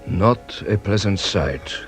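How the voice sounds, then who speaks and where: Theatrical, low-voiced French police inspector. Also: male voice, animated, slow, soft background music. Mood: grave. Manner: theatrical, formal, drily polite. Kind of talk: radio detective drama acting.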